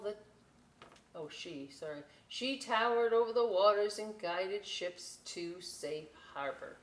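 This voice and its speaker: A woman reading a picture book aloud as a storytime host, enthusiastic and expressive, with dramatic emphasis and chatty asides. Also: fairly low pitch. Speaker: library storytime reader. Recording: roomy echo, clear background noise.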